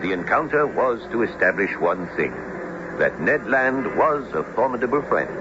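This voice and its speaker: An earnest, learned professor telling his tale in a radio drama. His low voice swings widely and expressively, with formal, dramatic phrasing. Heavy noise covers the old radio-style recording. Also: sing-song, moderate pace, dull audio. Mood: dramatic, grave. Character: earnest, learned French male professor narrating his sea adventure. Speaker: narrator and actor. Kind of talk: radio drama narration and acting.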